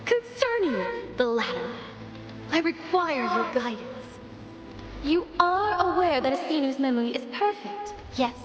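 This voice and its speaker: A high-voiced, regal alien empress. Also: female voice, very animated, highs cut off, background noise. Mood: troubled, earnest. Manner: formal, eloquent, measured delivery. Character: regal alien empress, pious, restrained, secretly yearning. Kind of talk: dramatic video game voice acting. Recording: loud music behind the voice.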